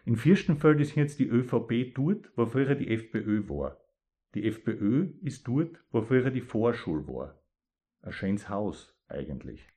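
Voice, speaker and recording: A man from East Styria with an East Styrian Austrian accent, a poet reading his dialect poems and then talking about them thoughtfully, with pauses. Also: medium pitch, very animated, warm tone. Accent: East Styrian Austrian German.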